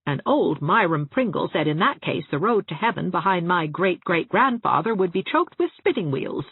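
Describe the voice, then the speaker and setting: A woman's voice: lowish voice, very animated, moderate pace, husky, no room sound, phone-quality sound, voice pushed up, exaggerated voice. A woman voicing a ladylike small-town spinster dressmaker in an audiobook, chatting genteelly with relish for grim family gossip. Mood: proud, chatty. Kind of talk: acted audiobook dialogue.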